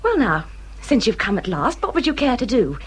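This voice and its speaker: An actress playing a self-pitying hypochondriac English gentlewoman in a period radio drama, plaintive and complaining, with sighs and strong emphasis. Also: a little high, wildly swooping pitch, moderate pace, husky, exaggerated voice.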